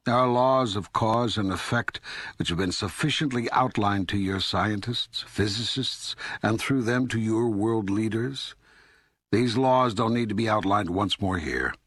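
A man playing God in an audiobook dialogue, speaking as a patient, all-knowing deity in a measured, authoritative, teaching way. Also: fairly low pitch, fairly bright tone, husky, no echo, studio quality. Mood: firm, grave. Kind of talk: scripted audiobook dialogue reading.

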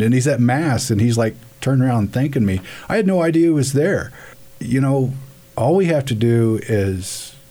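A man speaking as a radio guest, giving an earnest testimony about prayer with halting speech and frequent restarts. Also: mid-range voice, dark tone.

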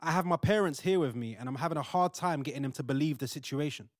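A man's voice: fairly high pitch, fast talker, no room sound, clean studio sound, close to an everyday voice. A man playing an earnest, insistent young expectant father in an audio drama. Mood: calmer.

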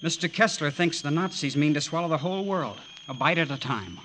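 A noisy old radio recording carries a lightly high voice as an earnest son of twenty. His pitch swings with youthful, stubborn conviction in classic radio-drama style. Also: animated, fairly quick, a bit bright. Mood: headstrong. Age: young adult. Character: earnest, headstrong American son of twenty, eager to enlist. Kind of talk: 1940s-50s radio drama acting.

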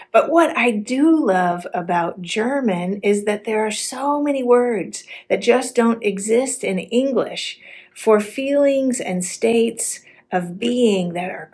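A woman pastor giving a sermon in a reflective, measured public delivery. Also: mid-range voice.

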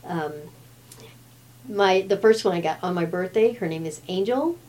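A woman's voice: mid-range voice, normal speed, darkish tone. A woman in her fifties, a guest who grew up in Brazil with Portuguese as her first language, chatting casually and laughing often. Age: 50s. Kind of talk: casual chat.

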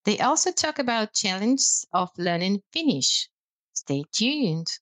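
A woman whose first language is Russian, a language lecturer explaining learning tips in a measured way on a podcast. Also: medium pitch, very animated, fairly bright tone, no echo. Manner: measured, explanatory, with some hesitant fillers.

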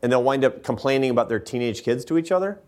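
A man at an ordinary middle pitch fields questions from the audience after his talk. He speaks earnestly and with emphasis. He often catches himself and restarts a phrase. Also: expressive, fast talker, a little mellow. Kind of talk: lecture Q&A answers.

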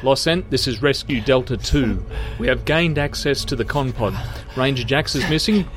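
A man's voice: mid-range voice, normal speed, lightly acted. Actor as a practical male rescuer over music. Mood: focused, businesslike. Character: calm, practical male military rescue ranger. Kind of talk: audio drama acting, clipped radio procedure.

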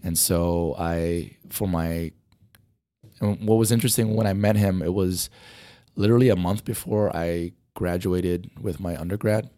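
Middle-aged male guest, low dark voice, hoarse and fading, talks in loose rambling answers. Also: fairly even intonation, unhurried, no room sound, studio quality. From Hawaii. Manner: rambling, many fillers and restarts. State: losing his voice. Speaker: motion design educator. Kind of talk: conversational interview answers.

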